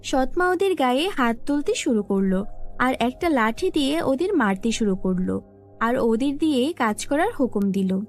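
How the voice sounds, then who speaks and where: Woman telling a kids fairy tale, high voice, bit of music underneath. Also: animated, normal speed, darkish tone. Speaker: storyteller. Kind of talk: scripted children's fairy tale narration.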